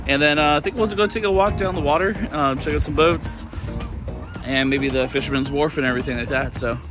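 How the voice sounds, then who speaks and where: A man's voice: fairly high pitch, brisk, music in the background. Easygoing man vlogging to a handheld camera. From southern California. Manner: relaxed, rambling, lots of fillers. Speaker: travel vlogger. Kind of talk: casual vlog narration. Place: outdoors, hotel room and parked van. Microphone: handheld camera at arm's length.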